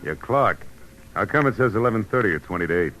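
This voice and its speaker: An actor playing a tough, wry private detective in an American radio crime drama, narrating in clipped, world-weary hardboiled style. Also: male voice, lowish voice, animated, quick pace, fairly bright tone, slight background noise, rough recording. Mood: dry, guarded. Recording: old radio recording with music behind.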